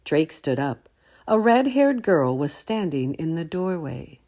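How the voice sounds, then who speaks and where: Low-voiced, expressive woman reading a story. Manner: expressive, deliberate. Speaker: storytime reader. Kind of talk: children's story read-aloud with questions to listeners.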